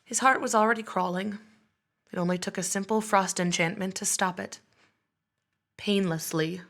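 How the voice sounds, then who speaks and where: An actress playing a gentle, solemn young female healer-mage who speaks softly. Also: medium pitch, steady tone, moderate pace, lightly acted. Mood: calm. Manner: soft, solemn.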